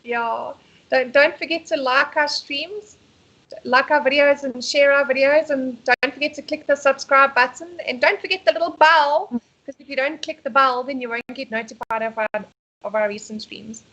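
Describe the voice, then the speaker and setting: A woman's voice: sits high, moderate pace, bright, forceful tone, highs cut off. A South African woman co-hosting a casual live stream, chatty and laughing often as she reads out viewer comments. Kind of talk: casual chat.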